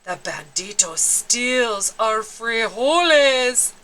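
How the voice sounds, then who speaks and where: A woman's voice: a little high, animated, extremely slow, pushed and bright, exaggerated voice, low-quality recording. A woman voicing a small male dog villager in a storybook, grave and ominous as he spells things out. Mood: grave, hushed.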